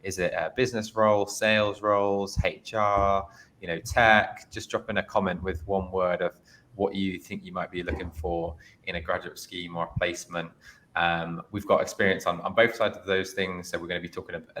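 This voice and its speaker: Deep-voiced young man hosting a webinar. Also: a bit bright, a little hiss or hum, rough recording. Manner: chatty, upbeat, with frequent ums and repeats. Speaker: host. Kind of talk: online careers webinar.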